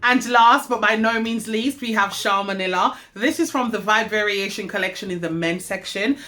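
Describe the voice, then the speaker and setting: A woman's voice: medium pitch, moderate pace, pushed and bright. Chatty woman with a stuffy nose. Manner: chatty, addresses viewers with tag questions. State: stuffy nose from hay fever. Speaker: perfume reviewer, channel host. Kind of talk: fragrance review vlog.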